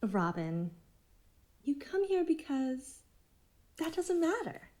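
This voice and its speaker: Woman playing smug female cult-like leader, deliberate, taunting, animated, raised pitch, podcast drama. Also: full character voice. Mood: coolly certain.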